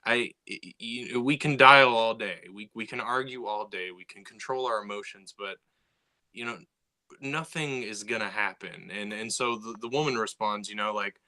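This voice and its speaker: A man with a bright, forward voice co-hosts a podcast in casual conversation. He talks with animated energy, stumbling over restarts and repeated words as his thoughts run ahead. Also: lowish voice, moderate pace, low-quality recording. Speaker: podcast co-host.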